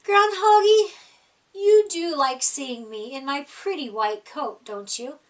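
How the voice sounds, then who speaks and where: A woman's voice: sits high, takes it slowly, far from the mic. A woman voicing a vain storybook hare with a coaxing, gushy manner. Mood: wheedling. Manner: wheedling, gushy.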